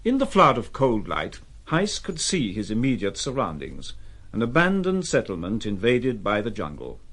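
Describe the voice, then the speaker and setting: A man narrating an audio drama in a measured, deliberate and dramatic literary storytelling style. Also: lowish voice, wildly swooping pitch, fairly bright tone.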